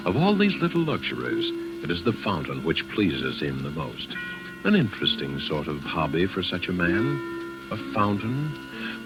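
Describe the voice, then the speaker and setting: A man's voice: deep voice, poor audio. A man playing an ominous, mysterious host, introducing a 1940s radio drama in a formal, portentous manner. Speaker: host and announcer. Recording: vintage 1940s radio, loud music behind.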